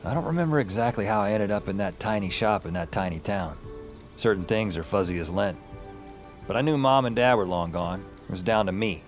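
A young man with a slightly low voice narrates an audio drama played by an actor. He is wry, colloquial and self-deprecating, a bit rattled, with music clearly behind him. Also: a little fast, lightly acted. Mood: wry, reflective.

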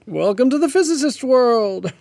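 An actor playing a learned, patient astrophysicist in an audio drama radio interview, explaining things in a measured, precise, pedantic way. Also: male voice, very high voice, lively intonation, creaky, a little mellow, dry recording, full character voice. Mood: amused, hesitant. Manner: pedantic, measured, hesitant with hedging asides. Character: learned male astrophysicist, patient, precise, mildly amused Cold War expert. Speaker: astrophysicist guest on a radio show.

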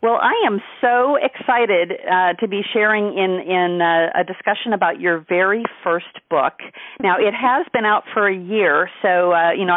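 A middle-aged woman hosting a radio interview, upbeat and chatty, often pausing on fillers and restarts. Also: on the low side, no room sound, phone-quality sound. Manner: upbeat, halting with many fillers. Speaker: radio show host.

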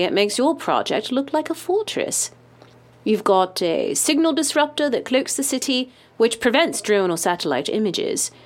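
A polished broadcast interviewer in an audio drama. Her voice sits a bit high and moves with lively intonation. She is formal and articulate, and presses her questions insistently. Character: polished, probing female news interviewer.

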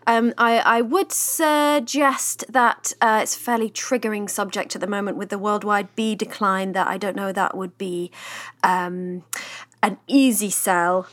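An actress playing a patient, diplomatic business consultant in a comedy podcast, politely baffled and choosing words hesitantly, in a naturalistic style. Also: female voice, mid-range voice, fairly even intonation, measured pace, a bit bright, close to an everyday voice. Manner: tactful, hesitant, careful phrasing.

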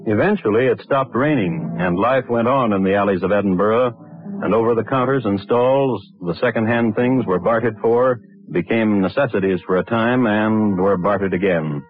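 A grave, wry storyteller played by a man narrates an old radio drama in a low, measured, deadpan voice. Faint music sits behind him, and the vintage recording is tinny. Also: lightly acted.

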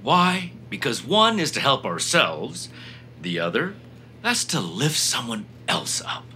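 An actor playing a smug, chummy corporate boss in a comedic audio drama, pompous and patronizing. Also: male voice, medium pitch, huge pitch swings, slow pace, pushed and bright, slight background noise, exaggerated voice. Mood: grandly gushing.

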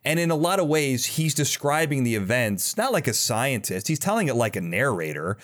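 A man's voice: medium pitch, sing-song, brisk, fairly bright tone. A man hosting a podcast, talking in a casual, enthusiastic and chatty interview style. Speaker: podcast host interviewing a guest.

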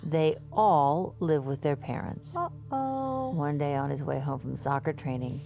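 Casting: chatty, flippant true crime podcaster in audio fiction; slightly low register, very animated delivery, music underneath. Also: female voice, measured pace, creaky, heavily acted. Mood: storytelling, wry. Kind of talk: scripted podcast banter and storytelling.

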